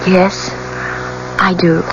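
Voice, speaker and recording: A woman's voice: lowish voice, level tone, drawn-out words. An actress playing a guilt-ridden, emotional young woman with hesitant delivery in a 1970s radio drama. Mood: subdued, reluctant. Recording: muffled, hissy 1970s radio recording.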